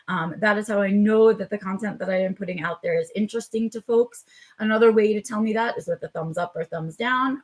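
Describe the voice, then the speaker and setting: A woman hosting her own live vlog in a casual, chatty way, her voice a little raspy and tired, with frequent ums as she talks straight to her viewers. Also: mid-range voice. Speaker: vlog host. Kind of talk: casual unscripted live chat.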